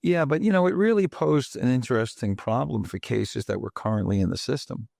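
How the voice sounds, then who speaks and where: Gravelly New man hosting a podcast. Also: medium pitch, lively intonation, fairly quick, a little mellow, no echo, clean studio sound. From Bronx, New York. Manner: chatty, asks leading questions with frequent "right?". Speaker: podcast host. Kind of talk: conversational interview.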